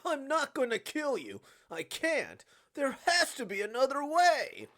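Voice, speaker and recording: A woman plays an anguished young man from a visual novel. The voice is raised and agitated, pushed up high as he protests and refuses. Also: very animated, measured pace, fairly bright tone, exaggerated voice. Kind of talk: dramatic visual novel read-aloud.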